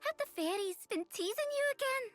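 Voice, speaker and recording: An earnest little fawn spirit for a video game, very high and animated. She is shy and gentle, exclaiming softly over faint music. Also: fairly quick. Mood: flustered, playful. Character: shy, earnest young female fawn spirit tending dreams.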